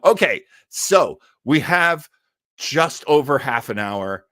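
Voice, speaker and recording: A man's voice: mid-range voice, sing-song, takes it slowly, fairly bright tone, dry recording. A man casually presenting as the host of a live show, chatting informally into a close mic. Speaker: host of an old-time radio show stream.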